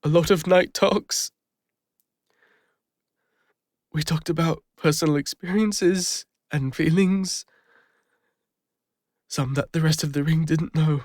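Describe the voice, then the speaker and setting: A man with an English accent playing a regretful fantasy adventurer and healer, confiding haltingly in an intimate audio roleplay. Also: fairly high pitch, darkish tone, dry recording, clean studio sound, natural voice. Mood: wistful, tender. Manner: hesitant, confessional, halting with pauses.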